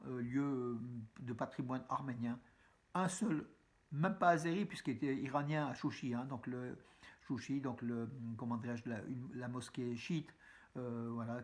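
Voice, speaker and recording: A man giving a casual spoken news roundup to his viewers, chatty and halting, with many fillers and restarts, addressing them as friends. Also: medium pitch. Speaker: news vlogger. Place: room with a map on the wall behind him.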